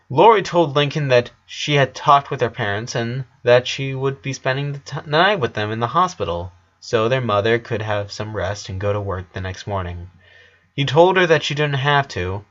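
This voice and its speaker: A man's voice: mid-range voice, lo-fi sound. A man reading scripted story narration as the narrator.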